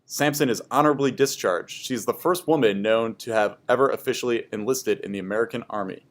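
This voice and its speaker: A man's voice: mid-range voice, fast talker. A man reading scripted educational history narration aloud for a class.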